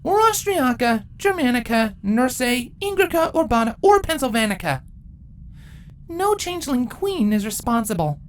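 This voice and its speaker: A woman's voice: a little high, very animated, moderate pace. A woman voicing regal changeling queens and a pony in a dramatic fantasy story, speaking formally and theatrically. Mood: earnest. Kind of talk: dramatic story character acting.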